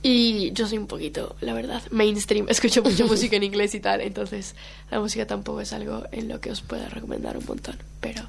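A woman's voice: medium pitch, very animated, brisk, creaky, slight background noise. A woman from Colombia whose first language is Brazilian Portuguese, chatting casually as a guest and laughing often. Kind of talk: casual chat.

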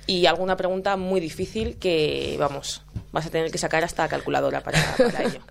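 Young female radio host, low-pitched, casual and quick. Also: steady tone, gravelly. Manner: laughing, quick asides. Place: radio studio.

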